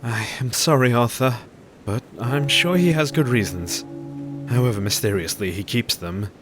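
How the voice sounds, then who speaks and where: An actor playing a polite, weary doctor and loyal former pupil in an audio drama, in a measured manner. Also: male voice, mid-range voice, over music, lightly acted. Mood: courteous, concerned.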